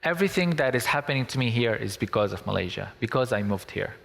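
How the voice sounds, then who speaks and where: A man's voice: mid-range voice, expressive, quick pace, creaky, a bit bright. A young man from Syria giving an earnest, emphatic public talk. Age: young adult. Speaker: speaker. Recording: long hall reverb.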